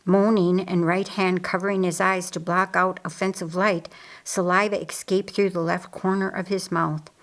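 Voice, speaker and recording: A woman reading historical fiction as an audiobook narrator, in a deliberate, dramatic storytelling manner. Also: lowish voice, flat delivery. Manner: slow, deliberate, dramatic reading with acted character voices.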